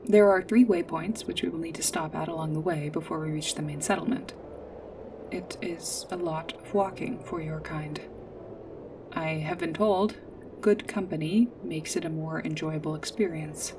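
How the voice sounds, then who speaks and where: A woman playing a polite, earnest android guide in a sci-fi ASMR roleplay, speaking in formal, precise, literal phrases with hesitant pauses. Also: low-pitched, fairly even intonation, far from the mic, background noise, natural voice. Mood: polite, pleased. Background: music.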